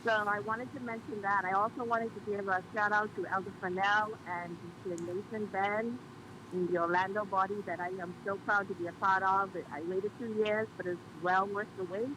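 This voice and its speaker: A Bahamian woman calls in to a church show, talking politely and warmly with plenty of ums and small hesitations. Her voice sits at a middling pitch, and clear hiss and background noise run under the line. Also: steady tone, a little fast. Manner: polite, chatty, many ums. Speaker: caller. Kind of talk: call-in radio chat.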